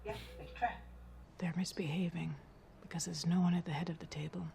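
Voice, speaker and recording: An actress playing a cool, businesslike aide to a powerful official in an understated TV drama. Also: female voice, lowish voice, fairly even intonation, brisk, gravelly, mellow. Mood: cool, cautioning. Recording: roomy, distant TV playback, faint noise.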